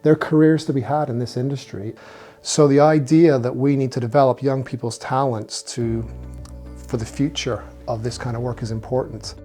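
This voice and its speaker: A man from Canada, interviewed for a documentary, recalling things in a reflective, unhurried way. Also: medium pitch, steady tone, dark tone, faint music behind.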